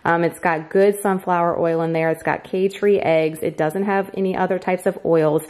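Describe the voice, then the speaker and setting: Chatty woman, slightly low voice. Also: fairly even intonation, moderate pace, a little mellow. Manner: chatty, informal, emphatic repetition. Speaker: vlogger showing a grocery haul. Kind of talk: casual vlog chat. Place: home kitchen, indoors.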